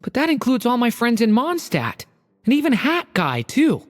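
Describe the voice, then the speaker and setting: A gentle, earnest young dragon boy. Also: on the high side, huge pitch swings, normal speed. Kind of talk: video game dialogue. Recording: game audio with soft music.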